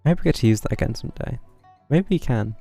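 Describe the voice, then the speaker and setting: Male streamer, casual gameplay chat, low-key, dark creaky tone, thinking aloud. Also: animated, quick pace. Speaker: game streamer. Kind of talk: casual live gameplay commentary. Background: game music.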